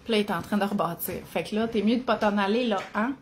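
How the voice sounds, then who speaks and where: A woman's voice: medium pitch, fast, slight background noise. A woman in her forties with a Quebec French accent, chatting casually to camera as a mom and nutrition author, talking in an easy flow with tag questions and little laughs. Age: 40s.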